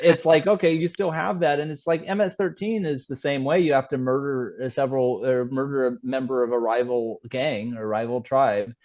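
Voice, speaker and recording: Man explaining haltingly, dull lo-fi sound. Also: medium pitch, darkish tone. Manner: halting, repeats words while explaining. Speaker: interview guest, author. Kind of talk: conversational interview, telling anecdotes.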